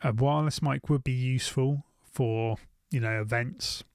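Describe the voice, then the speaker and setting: A man's voice: medium pitch, steady tone, normal speed, darkish tone, clean tone, no room sound, clean studio sound. A British man giving casual, unscripted commentary as a gear reviewer, thinking aloud and often repeating his phrases.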